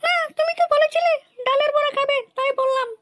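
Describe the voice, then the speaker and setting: Shrill, squeaky cartoon housewife. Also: steady tone, quick pace, very noisy. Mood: nagging. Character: nagging wife in a village household.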